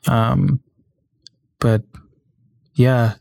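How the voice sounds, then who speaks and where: A man's voice: mid-range voice, steady tone, drawn-out words, creaky, a little mellow, no room sound. A man hosting a podcast solo in casual chat, rambling and stammering as he searches for words, close on a studio mic. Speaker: podcast host. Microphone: close studio mic on a stand.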